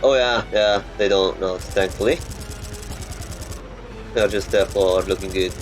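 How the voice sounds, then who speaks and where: Guy muttering through a flight game, lowish voice, game music going behind. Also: fairly even intonation. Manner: muttering, halting, thinking aloud. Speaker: gamer. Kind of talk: gameplay commentary.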